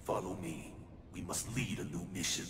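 A man's voice: deep voice, normal speed, echoey room, background noise, lightly acted. A man playing a cold, menacing cyborg assassin in a video game, with clipped, imperious delivery. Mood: stern command. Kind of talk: video game villain acting. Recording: loud music over the voice.

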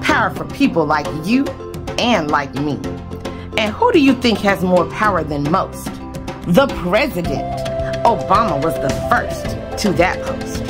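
A woman's voice: on the low side, lively intonation, a little slow. A woman reading a rhyming children's picture book aloud expressively, phrase by phrase, with emphasis. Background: music.

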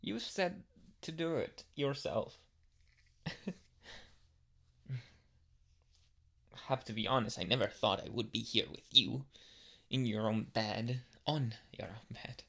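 A flustered tsundere anime boy, acted by a man, speaks slowly and haltingly in an intimate ASMR roleplay. His male voice is bright and tense, with a creaky edge. He stammers and hesitates like someone hiding a crush. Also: mid-range voice, lively intonation, full character voice. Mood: shy, flustered.

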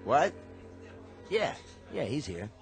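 An actor playing a wisecracking bartender in a radio drama, dry and sardonic. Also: male voice, mid-range voice, big pitch swings, takes it slowly. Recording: radio play with music underneath.

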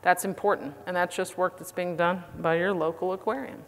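A woman's voice: fairly low pitch, steady tone, a little fast. Middle-aged woman presenting in a reverberant hall. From United States. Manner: casual, enthusiastic presenting with fillers. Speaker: conference speaker. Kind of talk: conference presentation. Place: conference hall.

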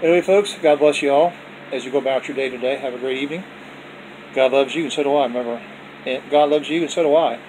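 Brief: folksy male home vlogger at the dinner table, phone-recorded, air-conditioner hum clearly audible behind him. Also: medium pitch, fast talker, a little mellow, poor audio. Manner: folksy, repetitive, with religious blessings. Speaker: vlog host showing his dinner. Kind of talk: casual off-camera food vlog. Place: indoors at home, dining table.

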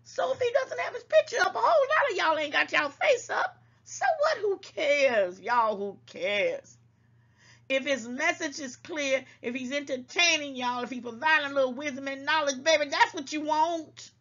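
A woman's voice: high-pitched, animated, normal speed, fairly bright tone, highs cut off. An older woman hosting an online talk show, scolding and preaching to her viewers and calling them baby, at times a little congested. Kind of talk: casual commentary to viewers.

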